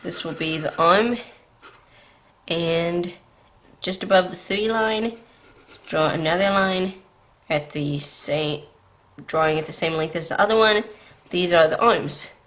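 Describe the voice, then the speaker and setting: Woman, low pitch, tired scratchy voice, drawing tutorial host, patient step-by-step instruction for beginners. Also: takes it slowly, long pauses, fairly bright tone. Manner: patient, beginner-friendly explaining. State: tired, scratchy voice from staying up late.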